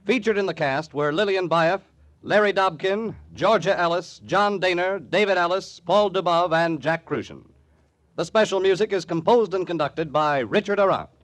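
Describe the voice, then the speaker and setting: A man's voice: high voice, normal speed, no echo. An American man reading scripted ads and credits as a 1940s radio announcer.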